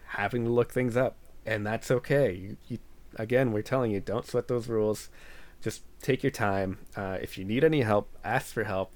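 A man co-hosting a casual podcast, giving earnest, reassuring advice and often stumbling and restarting. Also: mid-range voice. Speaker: podcast co-host.

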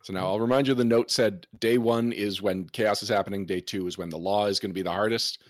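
A man's voice: fairly low pitch, fairly even intonation, quick pace, no room sound, studio quality. A man with a Canadian accent running a tabletop game as game master, bantering and laughing often with his players.

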